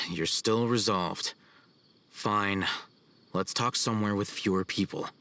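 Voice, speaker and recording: A man voicing a cool, haughty young scholar in a video game, formal and measured. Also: on the low side, sharp tone, exaggerated voice. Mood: cool, explanatory. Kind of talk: video game cutscene acting. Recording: music behind the voice.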